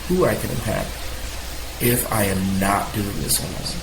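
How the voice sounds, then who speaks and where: A man, a podcast guest, talking openly and passionately, his voice rising higher and louder as he gets animated and talks with his hands. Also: unhurried. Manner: talks with hands, bouncing while speaking. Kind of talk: candid conversational sharing. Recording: roomy sound with clear background noise.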